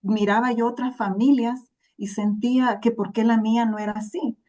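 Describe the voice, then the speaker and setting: Warm-voiced Salvadoran woman telling her story. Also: on the high side, fairly even intonation, normal speed. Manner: earnest, reflective. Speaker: interview guest. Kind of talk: personal storytelling.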